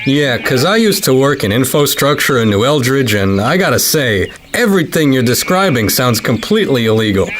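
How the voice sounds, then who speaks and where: A man's voice: medium pitch, expressive, moderate pace, few pauses, a bit bright, a little hiss or hum, natural voice. An actor playing a wary, blunt middle-aged man answering a strange phone call. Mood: angry. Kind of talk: audio drama acting.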